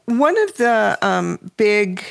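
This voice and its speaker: A woman hosting an interview who asks her questions in a friendly, measured way. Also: mid-range voice, sing-song, gravelly, dry recording.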